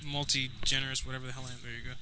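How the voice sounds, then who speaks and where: A man's voice: medium pitch, flat delivery, very fast, slight background noise, poor audio. A man hosting a prank-call show, talking in a loose, laid-back way and swearing casually. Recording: loud music behind the voice. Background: loud music.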